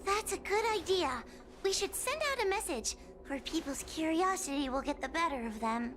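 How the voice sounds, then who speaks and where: A woman's voice: high-pitched, lively intonation, moderate pace, noisy background. A woman voicing a timid but earnest young girl in a video game, speaking hesitantly and politely. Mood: earnest, cautious.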